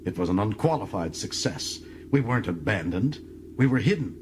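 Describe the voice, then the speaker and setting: A man's voice: fairly low pitch, animated, normal speed, noisy background, lightly acted. Man playing a scornful, fierce young alien prisoner. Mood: scornful, proud. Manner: scornful, dramatic, intense. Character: bitter, proud young male alien prisoner, fierce and contemptuous.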